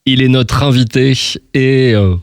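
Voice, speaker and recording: A man's voice: mid-range voice, steady tone, measured pace, over music. A man hosting a casual radio interview, informal and chatty, interjecting short agreements.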